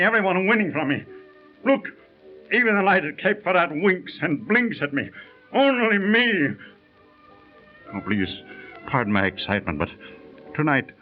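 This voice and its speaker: An actor in a 1930s radio drama playing a nervous, ruined card-sharp gambler who pleads and stammers. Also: male voice, a little high, lively intonation, moderate pace, pauses often, faint music behind, lightly acted. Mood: pleading. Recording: tinny 1930s radio transcription.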